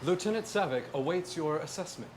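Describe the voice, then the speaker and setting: A man playing a formal, logical alien science officer with deadpan delivery in a stage parody. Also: fairly high pitch, brisk, lightly acted. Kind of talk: comic stage parody acting. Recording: noisy live stage room.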